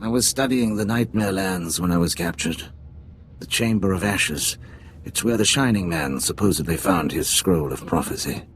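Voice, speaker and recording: A man's voice: deep voice, moderate pace, slight background noise. A man playing a proud, bitter undercover Imperial spy who is mortally wounded, in a video game. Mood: urgent. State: weak, mortally wounded acting.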